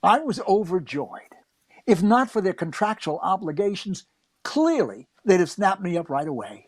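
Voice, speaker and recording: An eager young comedy writer narrates with wry self-mockery in a radio play. His voice is fairly high and recorded close and dry. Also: very animated, moderate pace, dry recording. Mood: wry, upbeat. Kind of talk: first-person radio drama narration.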